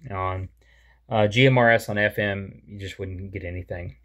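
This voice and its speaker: A man in his thirties hosting his own radio video, explaining things in a relaxed, casual way to the camera from a small room, with plenty of ums and uhs. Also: lowish voice, normal speed. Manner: easygoing, with frequent fillers. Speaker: radio hobbyist host. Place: small indoor room with a wall map.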